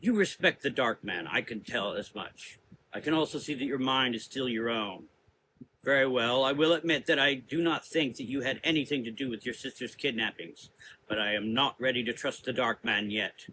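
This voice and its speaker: A man's voice: mid-range voice, normal speed, bright, forceful tone, slight background noise, lightly acted, low-quality recording. A man voicing a stern, commanding older temple matriarch who speaks formally and with authority. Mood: wary, grudging.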